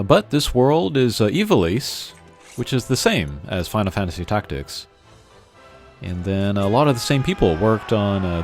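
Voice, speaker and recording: A man casually commentating as he plays a video game, rambling and opinionated in an informal way. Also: deep voice. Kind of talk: casual let's-play commentary. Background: faint game music.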